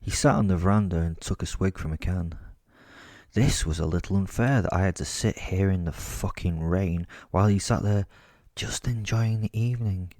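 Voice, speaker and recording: Deep, cheeky werewolf storyteller played by a man. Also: normal speed, a little mellow, no echo, studio quality. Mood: wry storytelling. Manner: conversational, wry, casually sweary. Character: bored, cheeky, foul-mouthed male werewolf recounting his hunt. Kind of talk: first-person dark comedy audio drama narration.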